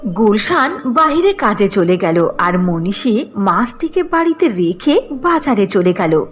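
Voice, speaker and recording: A woman's voice: mid-range voice, big pitch swings, unhurried, faint music behind. A woman narrating a scripted cartoon folk tale as a storyteller.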